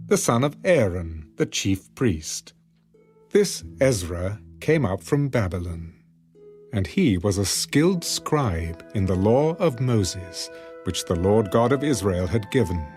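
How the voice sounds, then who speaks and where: A man narrating scripture for a dramatised audiobook, with solemn, deliberate phrasing. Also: mid-range voice, darkish tone.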